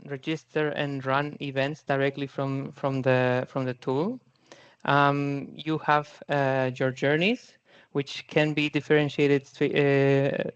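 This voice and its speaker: A man with a Spanish accent gives a talk over a video call. His voice sits fairly high for a man, smooth and clear, with a flat, even melody. He hesitates and restarts often, and the call audio is dull and lo-fi. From Spain. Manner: friendly, hesitant, many fillers and restarts, short laughs. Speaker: guest speaker. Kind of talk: presentation at a virtual user group.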